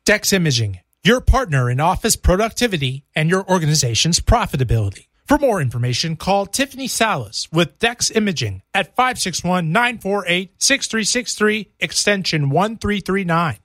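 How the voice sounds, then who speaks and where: A man reads an upbeat advertisement and lists phone numbers clearly. His voice is high and swings widely in pitch. The sound is dry. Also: very animated, normal speed, fairly bright tone, no echo. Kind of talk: read advertisement.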